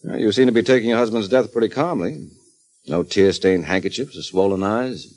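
Fast, low-voiced, sardonic detective played by a man. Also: a little mellow, close to an everyday voice. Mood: cool, probing. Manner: cool, sardonic, clipped questioning. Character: suave, wry, hard-nosed male private detective. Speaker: lead actor in a detective series. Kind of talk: 1940s radio acting.

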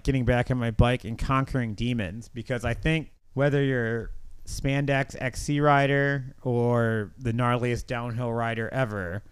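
A man's voice: medium pitch, smooth, no echo. A middle-aged man hosting a podcast, telling stories in a casual, earnest and reflective way. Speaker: podcast host.

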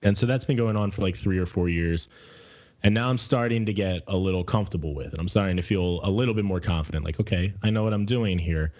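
Man, middle-aged, deep low voice, candid, reflective, hesitant phrasing, casual podcast talk, dull lo-fi sound. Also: fast talker, a little mellow. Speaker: podcast co-host and musician. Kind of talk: casual personal conversation.

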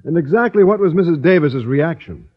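An actor playing an earnest, naive, mild-mannered teacher in an old-time radio comedy, boyish and literal-minded. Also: male voice, a little high, expressive, a little fast. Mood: earnest bewilderment. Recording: dull vintage radio recording.

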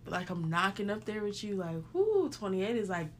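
A woman's voice: medium pitch, moderate pace, slight background noise. Laughing woman in her late twenties. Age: 20s. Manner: laughing, animated storytelling with acted-out quotes. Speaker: podcast co-host. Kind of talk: casual chat.

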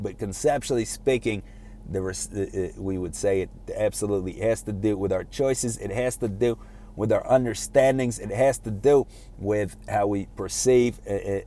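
A man giving an informal motivational lecture in a halting, conversational way, often repeating words and posing rhetorical questions. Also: on the low side, dark tone. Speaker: speaker giving a self-improvement talk.

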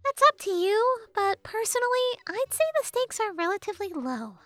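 A woman's voice: very high-pitched, big pitch swings, normal speed, fairly bright tone, no echo, faint music behind, voice pushed up, heavily acted. A woman voicing a sassy, sarcastic female fairy with an exasperated, mocking and dramatic manner in a storybook narration. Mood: wry.

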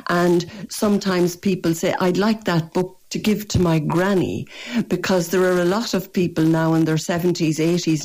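An older Irish woman, a poet, reading her poems in a measured, gentle way and answering interview questions. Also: lowish voice. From rural northwest Ireland. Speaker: poet and guest. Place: radio studio or phone line.

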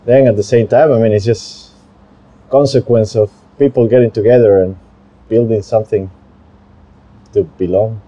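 A man's voice: on the low side, fairly even intonation, moderate pace, dark tone. A man from Venezuela answering street interview questions in a hesitant, thoughtful way. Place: outdoor fair in a park.

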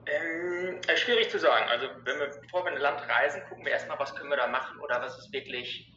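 A man from Germany, a travel blogger, talking relaxed and casual as a guest over a call, with many fillers. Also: on the high side, quick pace. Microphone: call audio. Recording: dull echoey call audio with faint noise.

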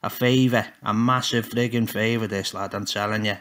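A man playing a feared, gruff old grandfather who talks bluntly and barks his lines. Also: lowish voice, fairly even intonation, moderate pace, a bit bright, natural voice. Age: older. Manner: blunt, barking, scolding.

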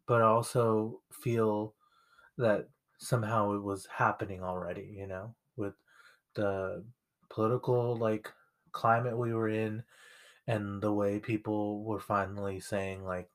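Young guy cohost, lowish voice, thinks out loud, lots of like and um. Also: fairly even intonation, measured pace. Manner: reflective, rambling with fillers. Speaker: podcast co-host. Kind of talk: casual conversation.

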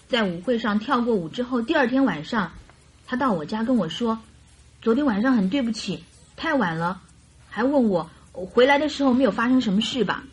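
An actress playing a chatty, naive and carefree young bride in a radio drama, with girlish, animated complaining and coaxing. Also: fairly high pitch, breathy edge.

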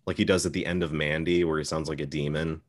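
A man's voice: a bass voice, little pitch movement, fast talker. A man hosting a podcast, talking through films in a casual, conversational way. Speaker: podcast host. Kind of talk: casual film discussion.

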